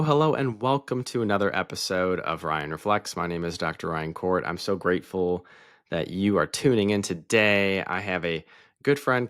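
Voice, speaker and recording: Very deep voiced guy hosting a podcast, upbeat, dry close sound. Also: fairly bright tone, no room sound. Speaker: podcast host.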